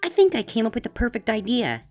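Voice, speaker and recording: A man's voice: extremely high pitch, wildly swooping pitch, quick pace, darkish tone, soft background music, pitched above the performer's own voice, full character voice. A man voicing eager, insistent young female cartoon creatures in a fan fiction story, with an emphatic way of explaining. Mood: bright, eager. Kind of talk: character voices in a fan fiction reading.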